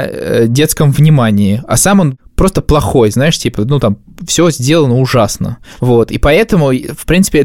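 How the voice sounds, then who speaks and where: A man's voice: medium pitch, a little mellow, no room sound, clean studio sound. A man co-hosting a podcast in casual chat, speaking informally with plenty of slang. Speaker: podcast co-host.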